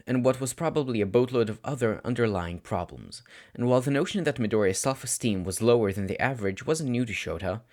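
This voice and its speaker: A man reading scripted story narration aloud, audiobook style, with expressive, dramatic phrasing. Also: fairly low pitch. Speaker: audiobook narrator of fan fiction.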